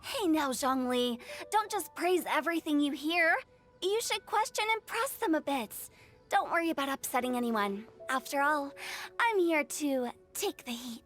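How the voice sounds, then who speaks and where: A woman voicing a brash, teasing young contest director in an animated video game. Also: sits high, moderate pace. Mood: playfully bossy. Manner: bossy, playful.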